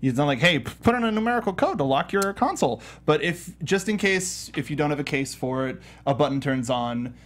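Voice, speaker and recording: A man's voice: on the high side, expressive, brisk, hardly any pauses, a bit bright. A man co-hosting a casual chat about video games, with an easygoing, encouraging way of talking. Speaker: talk show host.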